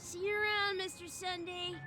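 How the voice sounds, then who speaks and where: A woman's voice: very high voice, little pitch movement, normal speed. A woman playing a drunken, blissed-out dreamer in a video game, rambling and slurring to escape a miserable life. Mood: tipsy, grateful. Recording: music under the voice.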